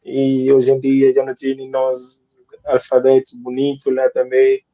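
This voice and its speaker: A man's voice: mid-range voice, completely flat delivery, a little slow, no echo, dull audio. A man from Cape Verde, talking casually as a guest author, rambling and repeating phrases for emphasis. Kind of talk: casual interview talk.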